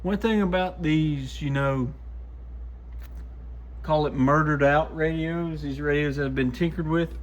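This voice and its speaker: A man's voice: a little high, measured pace, long pauses. A man casually thinking aloud in short, muttered remarks as he demonstrates and tests gear by hand. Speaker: radio shop host testing gear. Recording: clear background noise with clicks.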